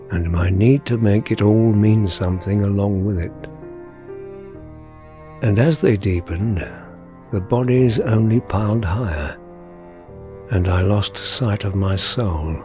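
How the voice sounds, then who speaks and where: A remorseful elder leader of mutants in an audio drama. His voice is low and unhurried, solemn and formal, with music playing underneath. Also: dark tone. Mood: sorrowful, solemn. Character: grave, remorseful older male mutant leader.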